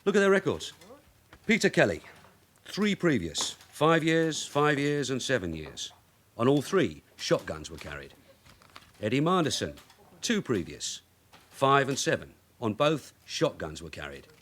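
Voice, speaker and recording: Radio drama lead: seasoned police sergeant, dry and blunt, mid-pitched with lively intonation. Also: male voice, a little slow. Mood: brisk, wry. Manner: wry, blunt. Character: shrewd veteran London detective sergeant, dry and unflappable. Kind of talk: naturalistic radio drama acting.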